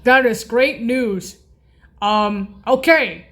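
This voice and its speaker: Very slow, high-voiced woman. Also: fairly bright tone. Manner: halting, repetitive, apologetic self-corrections. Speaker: music practice video host. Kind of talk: informal spoken tutorial naming keyboard voice effects.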